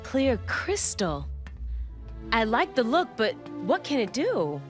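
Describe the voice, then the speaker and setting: A woman's voice: a little high, wildly swooping pitch, slow, heavily acted. A woman playing a composed, knowledgeable adventurer who tells lore in a video game cutscene. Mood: intrigued. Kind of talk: video game cutscene acting, dramatic narration. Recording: music behind the voice.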